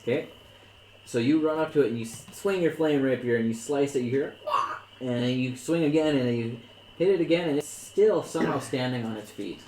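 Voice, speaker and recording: A man's voice: medium pitch, brisk, a little mellow. Man running a tabletop game in an echoey room. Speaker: dungeon master. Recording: echoey room, light background noise.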